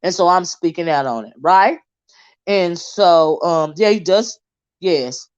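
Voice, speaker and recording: A woman's voice: fairly low pitch, animated, slow. Blunt woman commentator, lo-fi stream. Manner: blunt, emphatic, repeats herself with many fillers. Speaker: commentary channel host. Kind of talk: live-stream commentary monologue. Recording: dull lo-fi stream audio.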